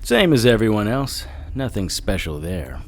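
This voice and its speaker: A man's voice: on the low side, very animated, moderate pace. An actor playing a wry, sardonic hard-boiled investigator who narrates his own story in an audio drama. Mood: cool, guarded.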